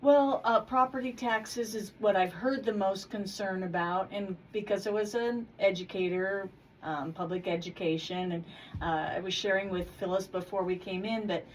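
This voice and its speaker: A hoarse woman speaks in a measured, plainspoken way as a candidate in a radio studio. She sits a little back from the microphone. Faint noise hangs behind her. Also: medium pitch, far from the mic, low-quality recording. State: hoarse from congestion. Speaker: state house candidate. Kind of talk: radio interview.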